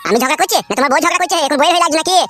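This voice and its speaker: A man's voice: very high-pitched, racing, fairly bright tone. A man voicing a brash, complaining, foul-mouthed young son-in-law in a comedy cartoon. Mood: whining complaint. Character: cheeky, whining, squeaky young husband and son-in-law.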